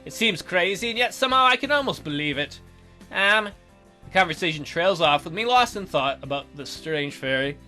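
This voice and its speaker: A man's voice: high voice, expressive, normal speed, sharp tone, faint music behind. A man reading a game's story aloud and adding sarcastic, sweary asides as commentary. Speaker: let's player. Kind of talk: joking game commentary with read-aloud narration.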